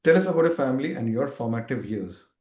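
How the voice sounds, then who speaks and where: A man's voice: medium pitch, animated, quick pace, a little mellow. A man hosting an interview who asks short, formal questions to move the talk along. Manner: brief, formal prompts. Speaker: interview host. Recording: echoey room.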